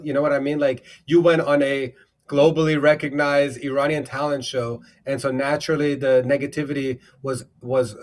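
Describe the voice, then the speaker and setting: A man hosting a livestream over a video call, talking in an effusive, rambling way with many fillers and restarts. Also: medium pitch. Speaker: livestream host. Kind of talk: casual livestream chat.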